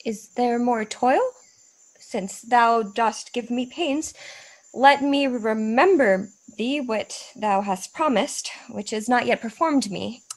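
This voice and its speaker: Woman playing airy spirit servant, kinda high voice, theatrical shakespeare audio drama, eager to please but wants freedom. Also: slow pace, rough recording. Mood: pleading. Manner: theatrical, deferential, earnest. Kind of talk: Shakespearean radio play acting.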